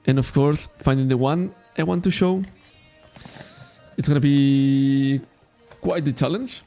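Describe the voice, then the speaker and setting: Gravelly Catalan-accented man, rambling casually. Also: mid-range voice, a little slow, mellow, faint music behind. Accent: Catalan-accented English. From Spain. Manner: rambling, self-correcting, laughs often. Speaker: trading card shop streamer. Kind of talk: casual livestream chat.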